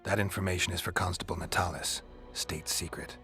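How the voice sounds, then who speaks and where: A world-weary monster hunter, speaks in an extremely low, gruff voice. His delivery is deadpan and laconic, with a forceful edge, in video game dialogue over faint music. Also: a little fast. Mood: dry, matter-of-fact.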